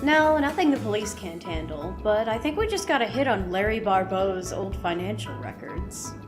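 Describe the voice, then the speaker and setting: A woman playing a breezy, quick-witted hacker sidekick in an audio drama. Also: medium pitch, expressive, over music, close to an everyday voice. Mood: brisk, wry.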